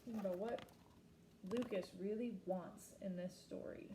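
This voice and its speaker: A woman reading a children's picture book aloud expressively, slowing down for emphasis and pausing to ask her listeners questions. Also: mid-range voice, fairly even intonation, roomy sound, background noise. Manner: asks listeners reflective questions. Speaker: storybook reader.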